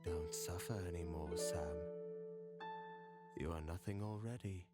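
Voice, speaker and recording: A man's voice: deep voice, a little slow. An actor playing a grim dead soldier stepfather in a visual novel, cold and solemn, without joy. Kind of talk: dramatic visual novel voice acting. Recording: loud music over the voice. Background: loud music.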